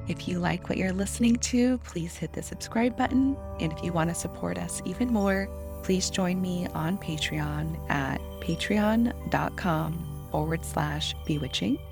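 A woman host reading a scripted podcast outro with credits in a measured way. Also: lowish voice, creaky. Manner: measured read-through of credits. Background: music bed.

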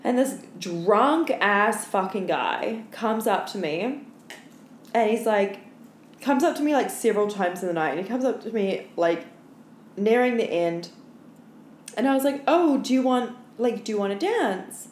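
A woman's voice: medium pitch, animated, a little slow. A young woman co-hosting a podcast, telling stories in casual, sweary, candid chat with lots of filler "like". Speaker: podcast co-host. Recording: roomy echo, faint background noise.

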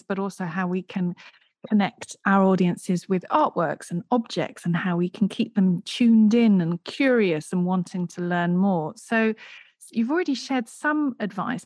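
A woman's voice: medium pitch, darkish tone, no echo, studio quality. A woman hosting a podcast, interviewing her guest in a conversational style. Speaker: podcast host.

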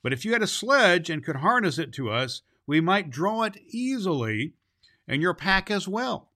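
A man's voice: sits high, big pitch swings, normal speed, bright, forceful tone, no room sound, studio quality, pitched above the performer's own voice, heavily acted. A friendly deer from a children's storybook, voiced by a man reading. It talks practically and plainly, sure of what it says. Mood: decided. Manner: matter-of-fact, decided.

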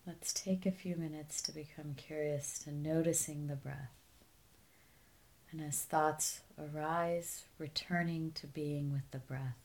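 A woman leading a guided meditation as a teacher, speaking in a calm, soothing, unhurried way with softly trailing phrase endings. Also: low-pitched.

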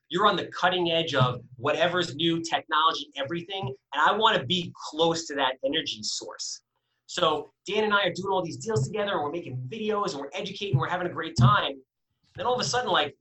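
A man in his forties with a bright, forceful voice, talking as a guest in a relaxed interview. He tells his stories energetically and candidly, with plenty of fillers and the odd swear. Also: fairly high pitch, low-quality recording. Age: 40s. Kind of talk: casual podcast interview.

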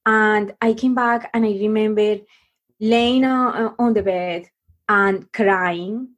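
A middle-aged woman from Colombia, telling her life story as a podcast guest in an earnest, halting way with many restarts. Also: medium pitch, steady tone.

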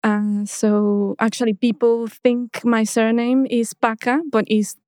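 A woman in her thirties who grew up in Mexico, speaking as an interview guest. Her voice sits a little high. She hesitates and restarts her sentences often, and the recording is dry and close with no room sound. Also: little pitch movement, slow pace, darkish tone, clear, resonant tone, dry recording. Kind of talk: casual interview conversation.

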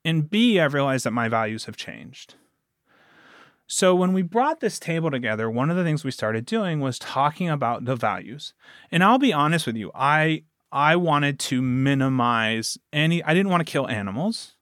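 A man's voice: a little high, expressive, normal speed, fairly bright tone, no echo, clean studio sound. A man talking casually as a podcast guest, telling stories and quoting himself and others as he goes. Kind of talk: casual interview conversation.

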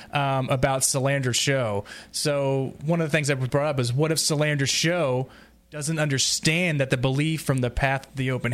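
Guy chatting on a podcast roundtable, voice a bit on the high side. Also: a bit bright. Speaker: podcast panelist. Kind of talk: casual roundtable discussion.

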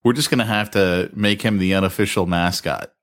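A man co-hosting a podcast, telling stories in animated casual banter and laughing as he talks. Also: low voice, fairly quick. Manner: animated storyteller, laughs while talking. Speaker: podcast co-host.

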